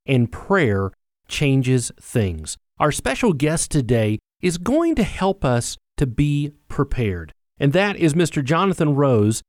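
Host: man, friendly scripted radio announcer, slightly raised pitch, close and dry. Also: a little mellow, no room sound.

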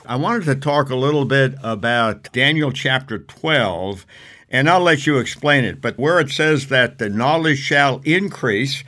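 A man's voice: medium pitch, unhurried, bright, forceful tone. A man hosting a radio interview, asking questions eagerly and with enthusiasm. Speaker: radio host.

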